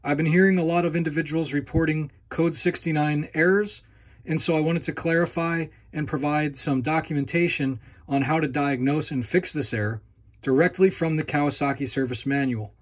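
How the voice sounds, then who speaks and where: A man's voice: fairly high pitch, fairly even intonation, normal speed. Methodical man explaining a repair. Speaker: channel host demonstrating repairs. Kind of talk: instructional walkthrough.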